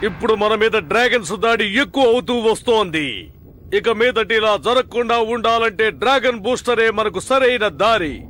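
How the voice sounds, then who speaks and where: A man's voice: sits high, normal speed, a bit bright, slight background noise. A man voicing an agitated, suspicious council leader in a dramatic cartoon dub, stern and emphatic. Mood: stern, worried.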